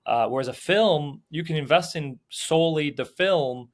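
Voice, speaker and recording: A man's voice: fairly high pitch, normal speed. Chatty Belgian-raised man with many fillers. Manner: loose and conversational, many fillers and restarts. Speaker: film producer and sales agent, guest. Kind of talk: casual podcast interview.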